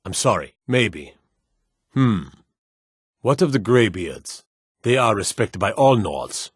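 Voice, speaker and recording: A man voicing a stern, loyal guard commander in a fantasy video game, with a gruff, weighty, formal delivery. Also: fairly low pitch, very animated, slow pace, dry recording, studio quality, exaggerated voice. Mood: grave. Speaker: voice actor for game guard lines.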